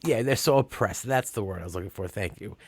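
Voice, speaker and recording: Male co-host, low voice, quick rambling crude chat. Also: gravelly, a little mellow, breathy edge, no echo. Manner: rambling, filler-heavy, profane. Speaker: podcast co-host. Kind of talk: crude comedic banter.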